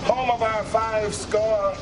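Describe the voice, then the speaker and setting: A man's voice: sits high, flat delivery, heavy background noise. A man playing a courtly, ominous haunted-ride elevator operator in a comedy sketch. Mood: ominous, teasing. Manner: theatrical, ominous, deliberately drawn-out delivery.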